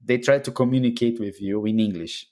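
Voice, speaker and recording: A man's voice: mid-range voice, fairly even intonation, a little fast, a little mellow. A man from Brazil with a Brazilian Portuguese accent, a podcast guest answering questions in casual chat, often restarting phrases and laughing as he tells stories. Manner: hesitant restarts, laughs while telling anecdotes.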